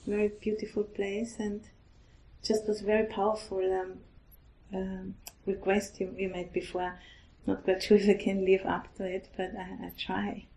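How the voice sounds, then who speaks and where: A middle-aged woman from Austria with an Austrian German accent, a Buddhist nun giving a gentle, halting dharma talk. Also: mid-range voice, level tone, rough recording. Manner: gentle, hesitant, halting with restarts.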